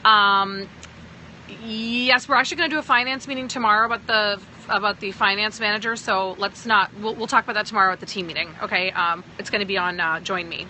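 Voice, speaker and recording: A woman's voice: mid-range voice, fairly even intonation, a little fast, bright, forceful tone, slight background noise, rough recording. A woman from Pakistan who sounds American, talking to viewers on a phone livestream as a sales team leader, emphatic and often checking in with "okay?". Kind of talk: livestream talk answering viewers.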